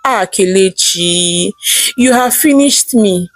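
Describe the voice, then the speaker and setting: A woman with a Nigerian accent voicing an anxious, persuasive husband in a folktale, pleading with drawn-out words. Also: medium pitch. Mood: afraid, despairing.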